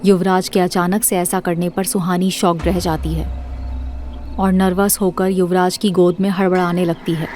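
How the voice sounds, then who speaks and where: A woman narrates a scripted audio drama. Her voice is fairly low and stays very even in pitch. Soft music plays behind her throughout. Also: normal speed.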